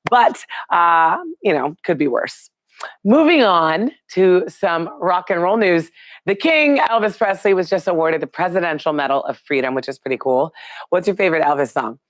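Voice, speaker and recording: A woman, hoarse and raspy from a cold, hosting a live news chat and greeting viewers by name. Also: lowish voice, animated, moderate pace, no room sound. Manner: chatty, upbeat, throws questions to viewers. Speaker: live show host.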